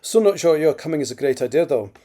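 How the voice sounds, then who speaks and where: A man voicing a calm, practical, protective male driver in a story. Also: fairly high pitch, fast talker, pitched above the performer's own voice, lightly acted. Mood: steady, protective.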